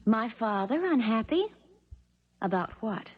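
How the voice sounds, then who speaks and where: A woman's voice: on the high side, big pitch swings, unhurried. An actress playing a cold, spoiled, scheming young rich woman in a 1970s radio drama, speaking in a haughty, cutting way. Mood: cool, guarded. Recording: dull, noisy 1970s radio recording.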